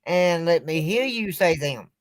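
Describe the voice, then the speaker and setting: A woman's voice: fairly low pitch, expressive, unhurried. A woman chatting on a live stream, giving short, drawling replies. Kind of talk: casual live stream chat.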